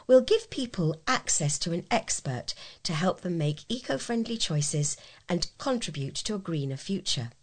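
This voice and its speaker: Woman volunteer reading local news bits off a script, voice a little on the low side. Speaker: volunteer newsreader.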